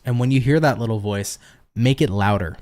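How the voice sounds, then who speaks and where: A man's voice: mid-range voice, brisk, darkish tone. A tired man reading tarot on a casual livestream, chatty and profane, laughing often and answering viewer comments. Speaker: tarot reader hosting a livestream.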